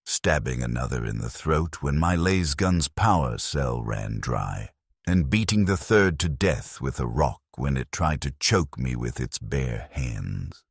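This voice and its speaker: A man narrating a dramatic scripted first-person war story as a grim, war-weary soldier, in a grave, measured, deliberate delivery. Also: rumbling low, breathy edge, no room sound, clean studio sound. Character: grim war-weary penal legion soldier recounting battles. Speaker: narrator of a fan lore channel.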